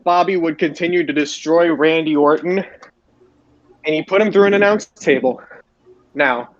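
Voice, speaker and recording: A man's voice: fairly high pitch, moderate pace, no echo, dull audio. A man hosting a podcast, recapping wrestling shows for his co-hosts. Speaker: podcast host.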